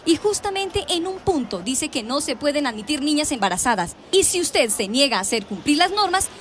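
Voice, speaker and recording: An actress playing a stern, bossy parents' association president with a Colombian accent, scornful and confrontational. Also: female voice, high voice, big pitch swings, fairly quick, a bit bright, slight background noise. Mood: defiant. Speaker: radio drama actress. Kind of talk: radio drama acting.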